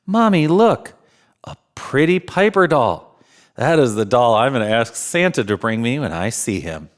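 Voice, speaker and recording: A man voicing an excited, eager little girl in a children's storybook. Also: mid-range voice, a little slow.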